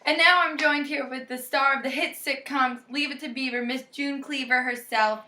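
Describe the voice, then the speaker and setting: Bright, high-voiced young woman anchoring news. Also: steady tone, moderate pace, a little hiss or hum. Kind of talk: scripted 1950s-style newscast, student project.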